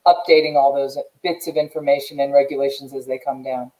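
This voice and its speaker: A woman's voice: extremely low pitch, fairly even intonation, fairly quick, warm tone, low-quality recording. A middle-aged American woman hosting an interview over a video call in an earnest, emphatic way. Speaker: show host. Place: indoors, plain room.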